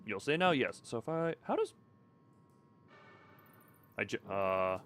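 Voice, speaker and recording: A man's voice: medium pitch, sing-song, takes it slowly, fairly bright tone. A man giving casual live gameplay commentary, rambling, self-deprecating and thinking aloud. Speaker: video game streamer. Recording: faint background noise and game ambience.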